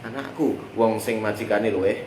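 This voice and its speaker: A man's voice: on the low side, normal speed, darkish tone. A man with a Javanese accent teaching a religious text lesson, explaining with everyday examples and drawing his listeners into finishing his sentences. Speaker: teacher of a classical Islamic text. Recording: echoey room with faint background noise.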